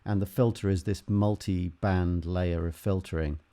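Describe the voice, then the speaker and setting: A man teaching music production in an unscripted tutorial, haltingly thinking aloud as he works through each step. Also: low-pitched, steady tone, mellow. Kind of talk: unscripted software tutorial, explaining while demonstrating.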